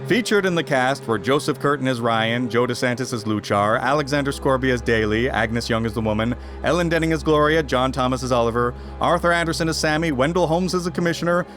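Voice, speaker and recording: A man's voice: mid-range voice, animated, a little fast, few pauses, fairly bright tone. A man reading a scripted announcement as a radio announcer in 1950s style. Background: music.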